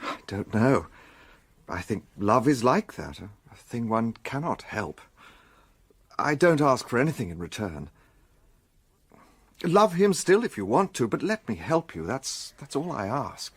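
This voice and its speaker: An actor playing an earnest, romantic gentleman who narrates and acts as a detective's companion in a radio drama. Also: mid-range voice, measured pace, pauses often, husky, no room sound, heavily acted. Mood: earnest, tender. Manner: earnest, expressive.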